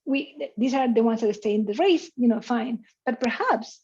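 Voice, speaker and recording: A woman's voice: on the high side, animated, normal speed, warm tone, slight background noise. A woman from Chile, an invited speaker answering questions after her online lecture, talking freely with lots of "you know" and "like". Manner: talkative, heavy on "you know" and "like", laughs often. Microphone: video call. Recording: muffled video-call audio.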